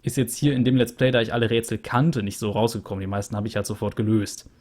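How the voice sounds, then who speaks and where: A man's voice: fairly low pitch, flat delivery, quick pace, a little mellow. Young guy chatting loosely about a game he just finished, lots of ums, home usb mic. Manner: chatty and rambling, with many fillers. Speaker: let's play host. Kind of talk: casual game wrap-up commentary. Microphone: USB studio microphone.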